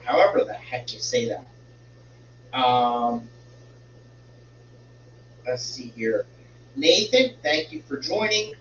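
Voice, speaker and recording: Stuffy guy rambling on his home stream, kinda muffled, low hum behind him. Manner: slow, rambling, fiddles with settings while talking. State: congested, slightly stuffy. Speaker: live stream host. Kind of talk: casual live stream chat. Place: home room with a fish tank. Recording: roomy, distant, dull home stream with hum.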